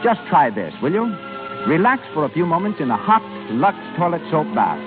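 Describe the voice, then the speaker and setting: A man's voice: a little high, big pitch swings, normal speed, phone-quality sound, over music. A man announcing a 1930s radio show and reading sponsor copy in a scripted style. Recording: band-limited 1930s radio broadcast.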